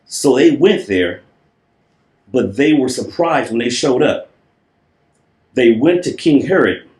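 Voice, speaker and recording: Slow-paced man reading a storybook, roomy echo. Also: mid-range voice, expressive, darkish tone. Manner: playful, theatrical, stretches and repeats words for effect. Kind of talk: animated storybook read-aloud with playful asides. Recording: roomy, echoing voice recording.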